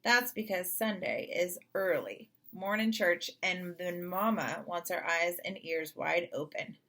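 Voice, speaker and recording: A woman narrating a children's story in an expressive read-aloud style. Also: fairly low pitch, measured pace. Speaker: storybook reader.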